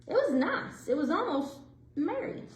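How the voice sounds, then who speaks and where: Animated high-voiced woman reading a storybook. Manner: animated, deliberate phrasing. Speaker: librarian reading stories aloud for children. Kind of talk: expressive children's storybook narration. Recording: roomy indoor echo.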